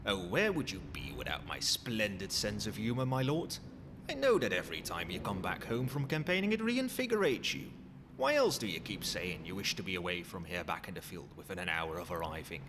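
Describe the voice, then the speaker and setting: Man playing a lively, cheeky skeleton butler. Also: deep voice, fairly quick. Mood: earnest, cheeky. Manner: formal, servile, theatrical. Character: pun-loving undead skeleton butler, courteous and cheeky. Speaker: butler character in roleplay. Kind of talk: ASMR roleplay acting. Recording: long hall reverb, noisy ambience.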